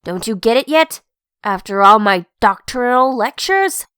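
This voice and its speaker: Woman acting a militant young female revolutionary, smooth clear voice, dry sound, preachy, emphatic, comic-dub drama. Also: on the high side, expressive, unhurried, a bit bright, no echo. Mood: preachy, fervent. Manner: emphatic, lecturing.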